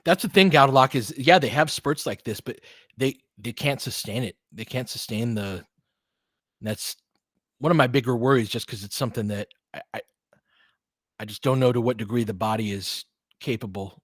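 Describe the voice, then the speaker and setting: Male fan commentator, mid-pitched, dry recording. Clipped live hoops play-by-play, openly partisan, slangy. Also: normal speed, dry recording.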